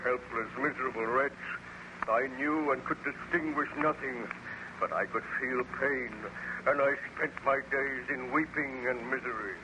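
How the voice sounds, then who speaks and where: A man's voice: medium pitch, natural voice. A male actor playing a bitter, wretched man-made monster in an old-time radio horror drama, declaiming in a formal, theatrical manner. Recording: muffled, very noisy old radio recording.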